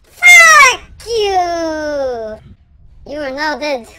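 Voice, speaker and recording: A shrill, childlike gamer chatters through a voice changer. The pitch is extremely high and lively. The delivery is whiny, excitable and full of swearing, like trash talk in an online game. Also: male voice, drawn-out words, heavy background noise, heavily acted. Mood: angry, yelling. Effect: pitch-raising voice changer.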